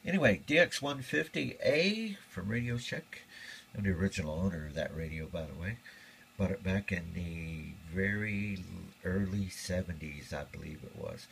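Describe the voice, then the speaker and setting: Older hobbyist, low-voiced man, folksy and plainspoken, casual show-and-tell. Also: normal speed, vocal fry, a bit bright, slight background noise. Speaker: radio hobbyist.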